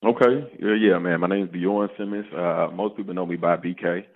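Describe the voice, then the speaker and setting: A man's voice: sits low, steady tone, brisk. A man in his twenties from the American South, a guest on a podcast, chatting in an easygoing way and often calling the host "man". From Arkansas, US South. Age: 20s. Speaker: podcast guest, startup co-founder. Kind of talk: casual interview chat. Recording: phone-line sound.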